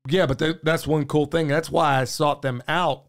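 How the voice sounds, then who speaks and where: Man, hoarse, folksy talk, podcast host, studio mic. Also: fairly high pitch, steady tone, moderate pace. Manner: folksy and talkative, says y'all, gestures a lot. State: hoarse, losing his voice. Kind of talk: casual podcast chat. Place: small podcast studio, indoors. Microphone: studio mic on boom arm, headphones on.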